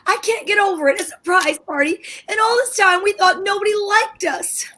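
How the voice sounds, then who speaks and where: A woman playing an excitable wife in an old-time radio comedy, who also jokes as a fortune teller. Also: extremely high pitch, normal speed. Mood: excited. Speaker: radio play performer.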